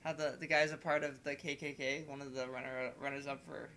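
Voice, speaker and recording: A man's voice: medium pitch, level tone, brisk, crowd noise. A man chatting casually on a podcast in a rambling way, peppering his sentences with "like". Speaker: podcast host. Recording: clearly noisy home recording.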